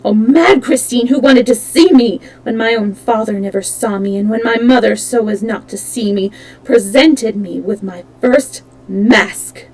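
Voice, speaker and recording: A woman's voice: on the high side, measured pace, a little mellow, low-quality recording. A woman reading aloud, voicing a tormented, disfigured masked man who roars, taunts and pleads. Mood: anguished. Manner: roaring, theatrical, alternately pleading and menacing.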